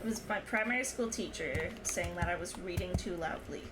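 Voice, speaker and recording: A woman's voice: fairly low pitch, a little fast, over music, low-quality recording. A young woman streamer casually chatting and telling stories while gaming, laughing often. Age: young adult. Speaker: streamer host.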